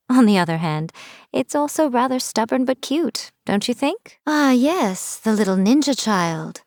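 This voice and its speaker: A woman's voice: on the high side, expressive, measured pace, a little mellow, no echo, clean studio sound. A woman voicing a sly, teasing fox-spirit shrine priestess, speaking in a playful, wry, mocking tone. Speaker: game character. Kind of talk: scripted game voice lines.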